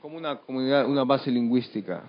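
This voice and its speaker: Man, mid-range voice, unscripted panel discussion, halting delivery, frequent tag questions, dull recording. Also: medium pitch.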